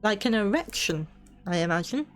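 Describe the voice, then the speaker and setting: A woman's voice: medium pitch, sing-song, moderate pace, soft background music. A British woman streamer reading a bedtime story aloud, with cheeky, playful asides.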